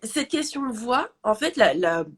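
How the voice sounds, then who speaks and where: A woman's voice: a little high, big pitch swings, unhurried, fairly bright tone, breathy edge. A woman business coach with a nasal voice, talking casually and animatedly about confidence and speaking up. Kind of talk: casual chat on a live stream.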